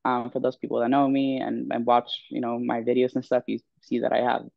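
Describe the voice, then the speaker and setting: A woman's voice: rumbling low, monotone, brisk, gravelly, no room sound, highs cut off. A woman in her twenties from Sweden, a coach speaking as a podcast guest in a candid, chatty way with many ums. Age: 20s. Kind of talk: casual interview chat.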